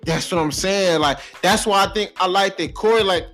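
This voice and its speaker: An American man hosting a casual podcast, reminiscing in animated, slangy talk and swearing freely. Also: high-pitched, normal speed, faint music behind. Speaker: podcast host.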